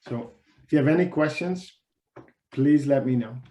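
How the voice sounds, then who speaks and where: A man's voice: mid-range voice, normal speed, warm tone. A man in his thirties with a Dutch accent, presenting casually on a video call, talkative and often repeating words. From Netherlands. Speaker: webinar presenter. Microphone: video call webcam. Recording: echoey room on a video call.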